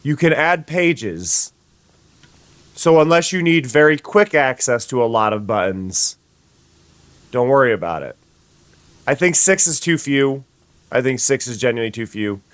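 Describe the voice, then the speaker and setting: Brief: young sniffly streamer, mid-pitch man's voice, chatty with restarts, dry booth sound. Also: animated, a little slow, pauses often, no echo. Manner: chatty, stumbling restarts, greets viewers. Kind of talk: casual gameplay chat.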